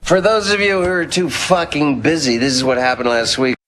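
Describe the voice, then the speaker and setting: A man's voice: fairly high pitch, steady tone, normal speed, slight background noise, natural voice. A man playing a crude, profane TV dad. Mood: blunt. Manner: blunt, profane, offhand. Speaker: recap narrator for a TV series. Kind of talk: scripted TV recap. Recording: TV soundtrack with loud music.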